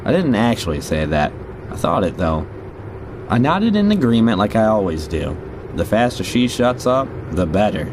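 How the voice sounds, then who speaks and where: Jaded male rideshare driver, played by a man, audio drama narrator, wry and conversational, lowish lively delivery over clear street noise. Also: normal speed. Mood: irritated, tense. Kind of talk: first-person scripted audio drama narration. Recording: noisy, car and street ambience behind.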